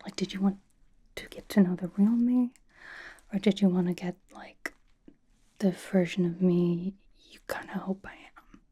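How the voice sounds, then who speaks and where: A woman playing a cocky young female pop idol in an ASMR roleplay, whispering breathily with halting, teasing, dramatic emphasis. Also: fairly low pitch, warm tone, slight background noise, close to an everyday voice. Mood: frank, teasing.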